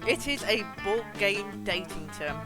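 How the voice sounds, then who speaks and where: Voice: mid-range voice, animated, a little slow. A game streamer chatting casually and informally to viewers, with a sweary, chatty manner. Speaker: live streamer hosting a game playthrough. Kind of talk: casual gaming stream chat with some reading aloud of game text. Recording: music behind the voice. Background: music.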